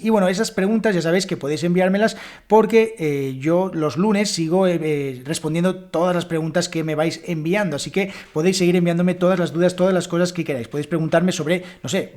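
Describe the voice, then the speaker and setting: A man's voice: high-pitched, a little mellow. A man from Spain with a Spanish accent, hosting a solo podcast lesson in a chatty way, with frequent fillers and restarts. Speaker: Spanish teacher and podcast host.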